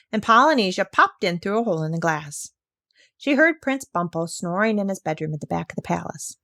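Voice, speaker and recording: A woman narrating a children's story aloud in an expressive, scripted storytelling style. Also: on the low side, dry recording, clean studio sound.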